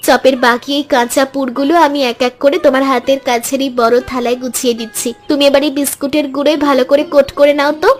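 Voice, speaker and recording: A woman's voice: high-pitched, flat delivery, fast, few pauses, close to an everyday voice. A woman voicing an eager, hardworking village woman and loyal friend in a Bengali cartoon story, speaking earnestly. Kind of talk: Bengali animated moral story dialogue.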